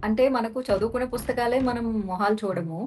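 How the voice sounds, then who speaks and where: A woman's voice: on the high side, fairly quick, a little mellow, a little hiss or hum. A woman hosting a podcast, chatting and questioning her guest in a casual conversational style. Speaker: podcast host.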